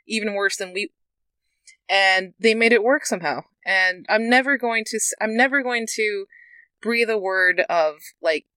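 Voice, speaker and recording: Bright, dry-sounding reflective speaker. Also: mid-range voice, moderate pace, dry recording. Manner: reflective, earnest. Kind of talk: casual discussion.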